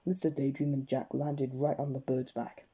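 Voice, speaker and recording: A woman reading a bedtime story aloud with expressive, dramatic narration. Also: very deep voice, normal speed, husky.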